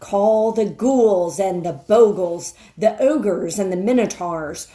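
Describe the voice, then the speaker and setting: A woman's voice: mid-range voice, mellow, close to an everyday voice. Woman playing an imperious, cruel witch queen. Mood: commanding, fierce. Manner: emphatic, commanding, drawn-out words.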